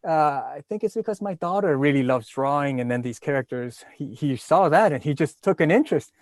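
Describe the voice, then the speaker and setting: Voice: mid-range voice, lively intonation, fairly quick, a little mellow, no room sound. A co-host on a live video chat, speaking animatedly and laughing easily. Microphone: video call.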